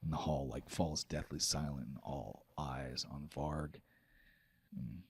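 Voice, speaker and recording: Very deep-voiced man game mastering. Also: fairly even intonation, slow, breathy edge. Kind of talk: dramatic tabletop game narration.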